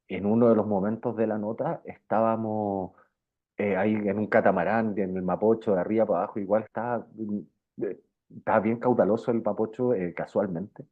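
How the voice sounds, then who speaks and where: Low-voiced Chilean man, thin phone-like sound. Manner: informal, slangy, circling and repeating phrases. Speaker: interviewed journalist. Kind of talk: casual conversational interview.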